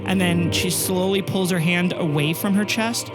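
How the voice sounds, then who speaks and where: A woman's voice: on the low side, normal speed, low-quality recording. Woman narrating a game over prominent music. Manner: improvised, descriptive. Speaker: game master. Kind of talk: descriptive tabletop game narration.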